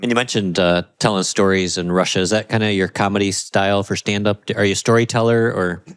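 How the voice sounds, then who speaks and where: A man's voice: lowish voice, fairly even intonation, quick pace, clean tone, dry recording, clean studio sound. A man hosting a podcast, easygoing, laughing along and asking short questions. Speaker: podcast host. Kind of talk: casual podcast chat.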